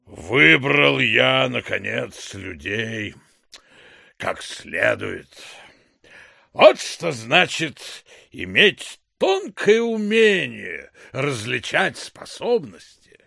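An actor playing a stern, pompous military chief in an audio drama, with a raspy, commanding delivery. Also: male voice, mid-range voice, big pitch swings, very slow, sharp tone, no echo, exaggerated voice. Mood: smug, self-satisfied. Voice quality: gravelly, raspy. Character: stern, self-satisfied old-regime military general and boss. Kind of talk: audio drama acting of classic literature. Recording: studio audio drama with music.

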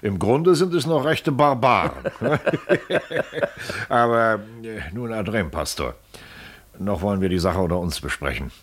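An actor playing a genial, probing older judicial councillor in a radio play, jovial yet formal. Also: male voice, on the low side, lively intonation, measured pace, long pauses. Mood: businesslike. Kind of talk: German radio play acting.

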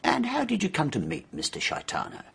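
A very husky police superintendent in a radio drama. His pitch swings widely as he asks questions in a measured, methodical and slightly hesitant way. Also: huge pitch swings, fairly bright tone, heavily acted. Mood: polite, probing. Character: plain, methodical male English police superintendent.

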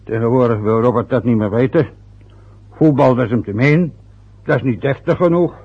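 A man's voice: medium pitch, moderate pace, slightly rough. An actor playing a bitter middle-aged father who grumbles and reproaches his son. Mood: reminiscing. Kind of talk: radio play acting. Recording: dull lo-fi old radio recording.

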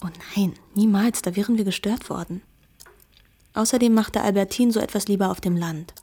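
A woman's voice: mid-range voice, moderate pace, mellow, husky, natural voice. An actress playing a candid young woman who confides secrets about her friend in intimate literary radio drama dialogue. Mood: confiding, candid.

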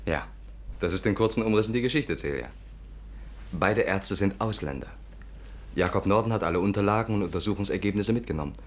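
Man acting a brisk, deep-voiced, assured sidekick. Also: natural voice. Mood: urgent, matter-of-fact. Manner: brisk, matter-of-fact. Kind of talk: 1950s German radio play acting.

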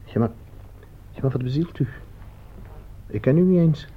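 An actor playing a nervous, easily offended addict in a radio play, hesitant and stammering with wariness. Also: male voice, mid-range voice, animated, normal speed, slightly rough. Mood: wary, defensive. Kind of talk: Dutch radio play acting. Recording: muffled, noisy old radio play recording.

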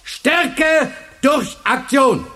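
Radio drama male teacher, fervent leader type, commanding and rhetorical, very high pitch. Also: extremely slow, bright, forceful tone, exaggerated voice. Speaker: actor in a radio play. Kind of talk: German radio drama acting, rallying speech.

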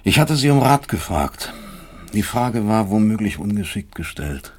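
A low-voiced, husky man of middle age, a brooding professor in a radio play. He weighs his phrases like arguments, bitter and sardonic, leaning hard on key words. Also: sing-song. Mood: accusing, emphatic. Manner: deliberate, rhetorical, emphatic, sardonic. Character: bitter, brooding East German male doctor and professor. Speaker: actor. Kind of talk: German radio drama acting, dialogue and inner monologue.

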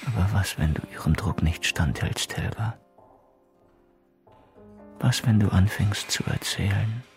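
An actor playing an anxious but defiant prisoner under interrogation, intense, brooding and halting, in a radio play. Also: male voice, fairly low pitch, soft background music. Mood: fearful, brooding.

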